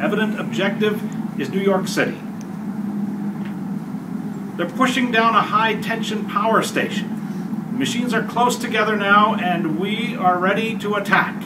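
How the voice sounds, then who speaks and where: A man playing a tense military pilot and radio operator in a live radio play, speaking in clipped, urgent phrases. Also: high voice. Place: hotel meeting room, panel table. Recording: distant camera mic, loud room noise.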